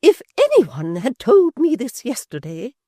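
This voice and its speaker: Woman voicing a high, shrill, indignant society lady for an audiobook, slow theatrical scorn. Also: huge pitch swings, a little mellow, no echo, clean studio sound, exaggerated voice. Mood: scornful. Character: haughty indignant middle-aged lady scolding her friend. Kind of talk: audiobook character voice.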